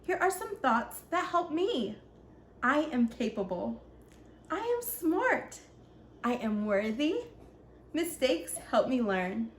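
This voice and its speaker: A woman's voice: high-pitched, sing-song, takes it slowly, long pauses, heavily acted. A woman voicing an earnest young ninja from a children's storybook, explaining things simply to children. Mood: earnest, instructive. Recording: echoey room, faint background noise.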